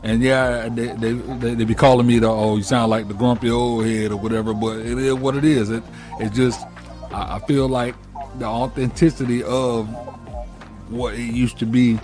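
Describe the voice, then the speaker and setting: A man's voice: medium pitch, level tone, moderate pace. A man, hosting a casual interview in an informal, slangy way. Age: late 30s. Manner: informal, slangy, repeats phrases. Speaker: interview host. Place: indoor room at home, on a video call. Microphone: wired earphones on a video call. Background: music.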